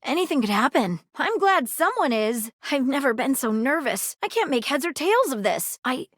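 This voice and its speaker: A woman's voice: high voice, very animated, normal speed, a bit bright, dry recording, clean studio sound, full character voice. A woman playing an earnest, inquisitive detective in a video game, with theatrical, articulate and courteous delivery. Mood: anxious. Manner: theatrical, articulate, formal phrasing. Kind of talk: acted video game dialogue.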